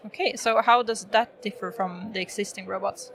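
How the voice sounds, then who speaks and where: A woman hosting a podcast interview, asking brief, measured questions. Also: mid-range voice, fairly even intonation, a bit bright, a little hiss or hum. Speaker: podcast host, consultant. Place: live event podcast booth.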